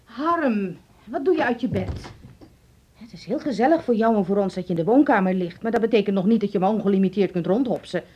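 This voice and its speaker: Fussing mom, scolds but fond, husky, swoopy pitch, lofi radio drama. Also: female voice, expressive, fairly quick. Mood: scolding, weary. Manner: scolding yet fond, exclaiming. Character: fussing, affectionate middle-aged mother with aches. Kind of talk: Dutch radio drama acting. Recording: dull lo-fi radio play recording.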